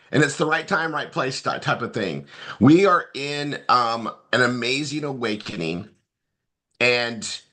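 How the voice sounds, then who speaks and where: A man with a mid-range voice that is a little hoarse and shot from a late night. He leads an online training over a video call in a loose, rambling way, often restarting phrases. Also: bright, forceful tone. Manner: loose, repetitive, upbeat pitch. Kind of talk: live webinar training.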